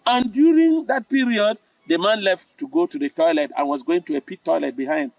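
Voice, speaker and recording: An older man with a Cameroonian accent hosts a storytelling talk in a deliberate, emphatic way, circling back to repeat his main phrases. His voice sits a little high for a man. He is slightly away from the mic in a fairly dry space, with soft music underneath. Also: gravelly, no room sound, distant mic, highs cut off. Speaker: storytelling host.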